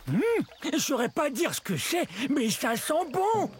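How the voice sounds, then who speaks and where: A man's voice: very high voice, big pitch swings, a little slow, exaggerated voice. A man voicing a friendly, curious, gigantic plant-eating dinosaur in a children's cartoon, chatting straight to the viewer. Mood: chatty, curious. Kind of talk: children's cartoon character monologue. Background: music.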